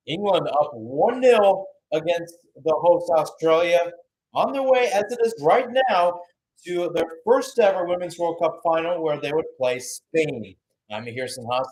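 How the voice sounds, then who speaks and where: A man's voice: a little high, expressive, moderate pace, darkish tone, rough recording. A man calling a football match live as a radio-style play-by-play commentator. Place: commentating remotely, not at the stadium.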